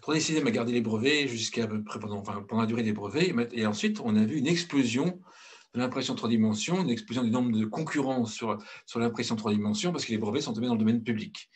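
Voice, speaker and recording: A man's voice: medium pitch, fast talker, husky. A middle-aged man from France giving a blunt, outspoken presentation as director of a consultancy, with frequent hesitations and restarts. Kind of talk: conference talk.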